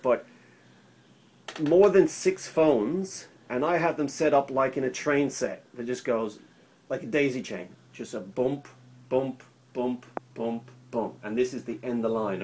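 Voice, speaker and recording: Guy doing a casual how-to like a tradesman, mid pitch, repeats himself and keeps checking you follow. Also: darkish tone, a little hiss or hum. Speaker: electrician demonstrating intercom setup. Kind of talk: informal how-to demonstration. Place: indoors at a work table. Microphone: handheld camera held by another person, a short way off.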